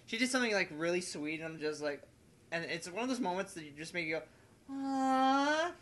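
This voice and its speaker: A young man with a notably high, bright and forceful voice, talking as a podcast host among friends. He rambles casually and excitably, giggling through his stories and slipping into acted-out quotes. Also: a little fast, soft background music. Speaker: podcast host and video maker.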